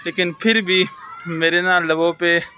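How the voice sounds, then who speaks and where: A man's voice: high voice, steady tone, unhurried, pushed and bright, a little hiss or hum. A man vlogging in a casual, reflective, advice-giving way, mixing English words into his speech. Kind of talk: casual chat to camera. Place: outdoors in rainy weather.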